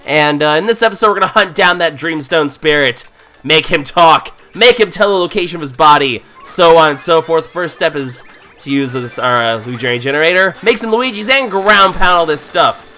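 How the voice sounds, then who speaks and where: A man with a cold, hoarse and nasal, giving casual, joking commentary as he plays a game. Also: fairly high pitch, fairly quick, pushed and bright. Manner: playful, jokey, thinks aloud. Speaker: let's play host.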